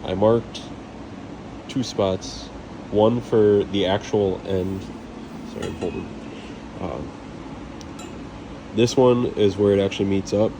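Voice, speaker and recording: A man with a very low voice talks through a hands-on repair in a loose, informal way, thinking aloud as he works. Clear background noise runs under his words. He sounds like a home mechanic narrating his own tinkering. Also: slow, long pauses. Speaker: DIY mechanic vlogger.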